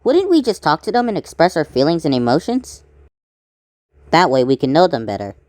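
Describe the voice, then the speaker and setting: A woman's voice: low-pitched, big pitch swings, fairly quick, darkish tone, no room sound, clean studio sound. A woman voicing an earnest, flustered oddball male cartoon character with a defensive manner.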